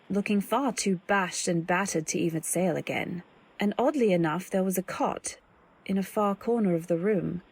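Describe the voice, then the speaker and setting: An actress playing an anxious young wife, telling her story in measured, literary old-time radio drama style. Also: medium pitch, darkish tone.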